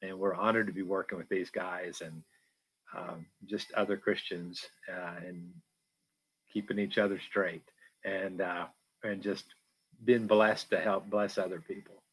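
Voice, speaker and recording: A man who lives on a farm, speaking sincerely and haltingly, with many pauses and fillers. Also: deep voice, level tone, fairly bright tone, slightly rough, poor audio. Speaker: farm resident, guest.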